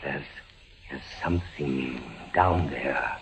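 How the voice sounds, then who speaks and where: An actor playing a fevered, terrified male prisoner of the Inquisition in a halting, theatrical 1940s radio style. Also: very deep voice, background noise. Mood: shaken horror. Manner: theatrical, halting, breaks off and repeats words. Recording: dull vintage 1940s radio recording with music.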